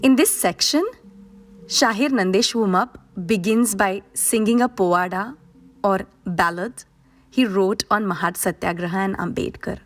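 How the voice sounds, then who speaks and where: A woman podcast host reading a scripted narration in a steady, earnest, explanatory way. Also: medium pitch, moderate pace, soft background music.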